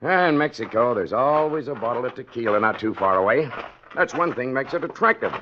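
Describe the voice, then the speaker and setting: An actor playing an affable American mine boss in a 1940s radio drama, amiable and conciliatory in manner. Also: male voice, mid-range voice, animated, fairly quick, a little hiss or hum, natural voice. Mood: friendly. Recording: muffled 1940s radio recording with music.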